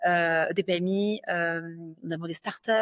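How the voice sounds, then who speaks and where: A woman's voice: lowish voice, steady tone, moderate pace, no echo, studio quality. A French woman in her thirties, a business lawyer, talking fluently and confidently in an interview, with frequent fillers and self-corrections. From France. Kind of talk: interview conversation.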